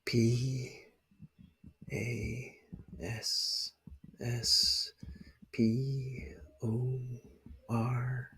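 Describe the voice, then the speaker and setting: Slow, husky man murmuring ASMR. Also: mid-range voice, little pitch movement, a bit bright. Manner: murmured, often dropping into a whisper; unhurried, pausing and thinking aloud. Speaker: ASMR video creator. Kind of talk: ASMR roleplay, soft-spoken personal rambling.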